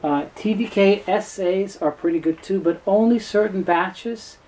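A man's voice: high voice, a little slow, a little mellow, slight background noise, low-quality recording. A middle-aged man giving an informal, matter-of-fact product explanation as a presenter. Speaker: presenter, tape seller. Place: small cluttered indoor room full of shelves and tapes.

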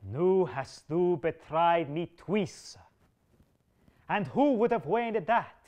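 A man's voice: high voice, takes it slowly, a little mellow. A man voicing a wounded aging king, speaking in solemn archaic phrases and issuing stern commands. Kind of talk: reading a medieval tale aloud.

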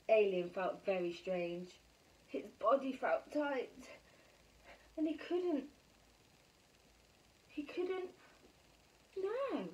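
A woman reads a picture book to children in an animated, exclaiming way. Her highish voice swoops widely at a slow pace, picked up from a short distance in a small room at home. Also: muffled recording. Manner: animated, exclaiming, asking rhetorical questions. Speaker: primary school bedtime story reader. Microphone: camera a metre or two away. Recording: slightly distant phone camera recording.

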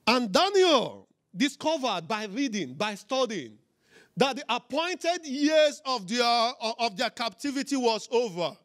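A middle-aged man preaching a sermon as a pastor, emphatic and deliberate, in short repeated phrases into a handheld microphone. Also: extremely high pitch, big pitch swings, fairly bright tone. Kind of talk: sermon with testimony and scripture. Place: church auditorium, on stage before a congregation.

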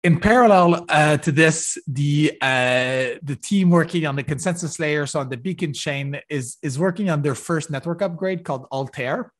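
A man giving a technical keynote over a video call, halting with many fillers and restarts. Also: fairly high pitch. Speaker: conference keynote speaker. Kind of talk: technical conference talk. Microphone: video call webcam.

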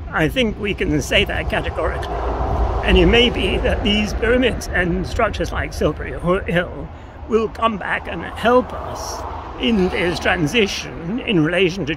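Older guy explaining stuff thoughtfully outside, high-ish creaky voice, clear background noise. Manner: tentative, philosophical, hedging. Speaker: interview guest. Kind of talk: reflective explanatory interview talk. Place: outdoors on open grassland by a path. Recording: outdoor recording with clear ambient noise.

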